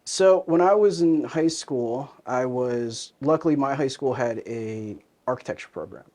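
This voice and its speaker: Man, thirties, relaxed, conversational, fillers and restarts, interview guest. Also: mid-range voice, a little slow. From born in Hong Kong, raised in New Jersey. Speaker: interview guest, commercial real estate advisor.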